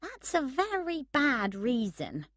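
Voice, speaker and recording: A woman's voice: high-pitched, huge pitch swings, takes it slowly, a bit bright, dry recording, clean studio sound, voice pushed up, exaggerated voice. A woman voicing a frank, unimpressed young woman who speaks directly to her friend in an audiobook story. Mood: reproving.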